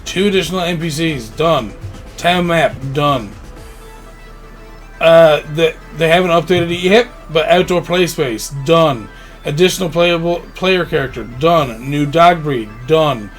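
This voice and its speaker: Fairly high-voiced man, casual podcast banter. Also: a little slow, faint music behind. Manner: informal, slangy. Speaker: podcast co-host. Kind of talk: casual banter about gaming news.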